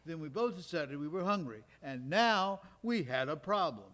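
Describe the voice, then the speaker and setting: A man's voice: a little high, lively intonation, normal speed, a bit bright. An actor playing a Georgia World War II veteran, telling his memories in a folksy, wry, conversational way on stage. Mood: reminiscing, wry. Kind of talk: live stage storytelling monologue, conversational. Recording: live stage recording in recreation hall.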